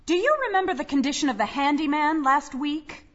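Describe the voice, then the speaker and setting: A woman's voice: high voice, a little fast, lightly acted. An actress playing a practical, weary working mother and manager in an audio drama. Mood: brisk, firm. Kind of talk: full-cast audio drama acting. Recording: muffled, slightly noisy recording.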